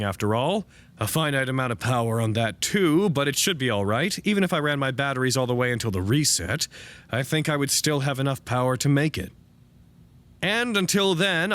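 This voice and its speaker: A man's voice: mid-range voice, a bit bright, lightly acted. Man playing earnest weary male broadcaster, formal wistful phrasing, swooping intonation, audio drama. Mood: measured, hopeful. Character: earnest, weary male radio broadcaster at a trapped research site.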